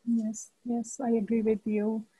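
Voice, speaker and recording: A woman's voice: on the high side, monotone, measured pace, dark tone, slightly rough, poor audio. A woman moderating an online panel discussion on a video call, speaking with many hesitant fillers.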